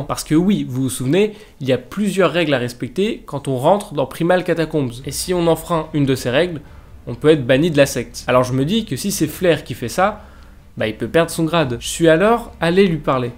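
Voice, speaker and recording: A man's voice: fairly high pitch, a little mellow. A young man with a French accent, narrating a story to his viewers in a casual, chatty vlog style. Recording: faint music bed behind voice.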